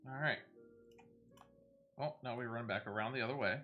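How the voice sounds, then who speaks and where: A man's voice: medium pitch, moderate pace, lo-fi sound, faint music behind. A man giving casual, playful gameplay commentary, talking to himself as he plays. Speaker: gaming streamer.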